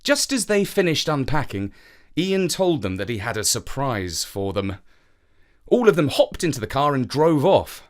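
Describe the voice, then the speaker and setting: A man narrating a children's story in an expressive storytelling manner. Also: medium pitch, moderate pace.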